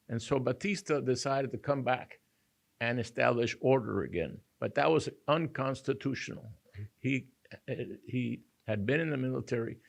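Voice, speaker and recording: Older guy on a panel, gravelly voice, kinda halting, restarts a lot. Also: mid-range voice. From Cuba. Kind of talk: roundtable remarks.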